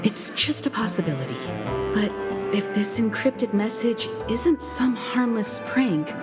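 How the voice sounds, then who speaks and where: A woman's voice: a little high, lively intonation, unhurried. An actress voicing a composed, cautious, mature female leader in a video game, speaking politely and with level-headed authority. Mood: serious, wary. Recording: loud music behind the voice.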